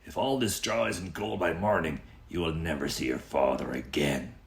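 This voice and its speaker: A man's voice: a bass voice, moderate pace, creaky, reverberant. A man voicing a greedy, commanding king in a storybook fairy tale. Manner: commanding, imperious. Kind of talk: storybook character voice.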